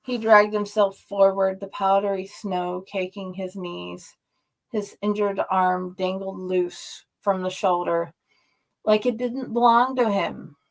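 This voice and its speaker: A woman reading a horror story aloud in a dramatic, deliberate, drawn-out way. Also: medium pitch, steady tone, creaky, low-quality recording. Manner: slow, deliberate, drawn-out delivery. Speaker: story reader and host.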